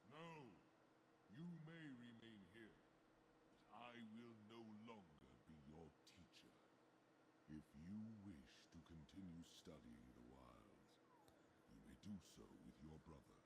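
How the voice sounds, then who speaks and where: An actor playing a stern, ancient nature demigod in a video game, a lofty teacher who speaks gravely and with measured authority. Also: male voice, low voice, animated, background noise, heavily acted.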